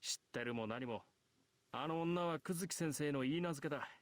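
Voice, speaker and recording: An actor playing an earnest, proper male high school student in a visual novel, speaking in formal, old-fashioned phrases. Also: rapid-fire, no room sound, lightly acted. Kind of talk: anime visual novel voice acting. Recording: loud game music behind. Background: game music.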